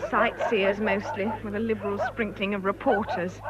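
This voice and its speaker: An actress playing a wry, sociable young fiancée with a British accent, chatting in radio drama style. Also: female voice, mid-range voice, flat delivery, moderate pace, lightly acted. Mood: chatty, amused. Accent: British English. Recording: dull lo-fi recording, crowd behind.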